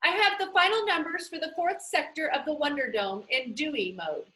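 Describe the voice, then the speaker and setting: A commanding, exacting woman librarian from a storybook, as a woman reader puts her on. Her voice is high and bright, and she pronounces each word with businesslike, exaggerated precision. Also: normal speed, echoey room, low-quality recording. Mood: precise, businesslike. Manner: over-enunciated, precise, businesslike.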